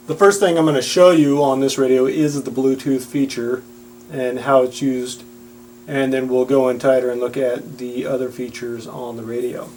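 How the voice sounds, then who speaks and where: Mellow man demonstrating a product. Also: medium pitch, poor audio. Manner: plain-spoken, instructional. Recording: audible background noise with hum.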